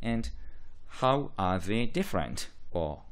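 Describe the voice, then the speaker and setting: A man's voice: fairly low pitch, big pitch swings, takes it slowly, smooth. A man with a Japanese accent, a native Japanese speaker, giving a lecture that explains terms, hesitantly repeating and restating key phrases. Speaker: university lecturer in linguistics.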